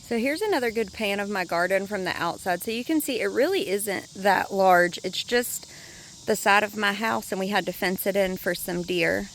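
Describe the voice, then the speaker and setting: A woman vlogging a casual walk-through of her home garden, speaking in a chatty, relaxed way with a hoarse, congested voice. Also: medium pitch, moderate pace, a little hiss or hum. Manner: chatty, relaxed, thinking aloud.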